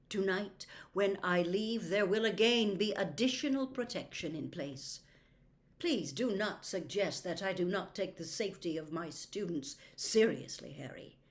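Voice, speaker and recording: A woman voicing a calm, stern old male wizard headmaster in a storybook reading, hushed, formal and measured. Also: lowish voice. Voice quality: quiet, hushed.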